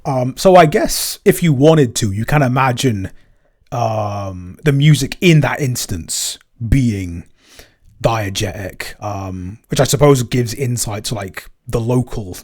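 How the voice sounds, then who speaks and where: A man's voice: mid-range voice, lively intonation, takes it slowly, darkish tone. A man chatting casually as a podcast creator answering questions, often stammering and repeating words as he works through his thoughts.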